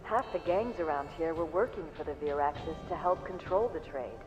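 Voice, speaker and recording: Actor as a chatty barkeep, slightly high, muffled. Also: male voice, animated, moderate pace, lightly acted. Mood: gossipy. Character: chatty, gossipy male bartender in a rough underhive. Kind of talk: cutscene voice acting, casual barroom gossip. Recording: dull sound under clear music.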